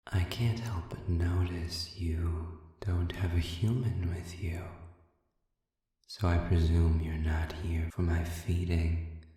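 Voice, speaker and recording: A man playing a sadistic, silver-tongued demon prisoner in an audio roleplay, speaking in formal, mock-courteous phrases with a breathy, whispery menace. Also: low-pitched, level tone, slow, dark tone. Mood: silky menace. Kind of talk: scripted audio roleplay addressed to the listener. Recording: very echoey, cavernous reverb, distant.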